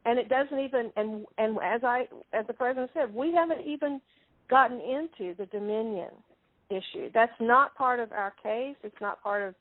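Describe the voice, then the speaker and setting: A woman pressing her point on a conference call, halting and often rephrasing herself. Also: a little high, no echo. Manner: halting, persistent, frequently rephrasing. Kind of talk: argumentative phone conversation. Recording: narrow, tinny phone line.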